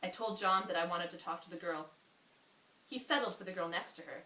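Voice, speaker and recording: A young woman reading a story aloud to a friend in an amused, expressive way, often breaking off for asides. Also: lowish voice, a little fast. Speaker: reader. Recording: roomy, distant mic with faint noise.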